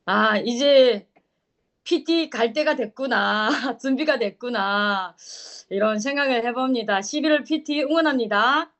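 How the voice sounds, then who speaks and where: A woman with a fairly high voice speaks informally to a group on an online meeting. She offers encouraging remarks in a casual, conversational style. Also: unhurried, a bit bright. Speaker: meeting participant giving encouragement.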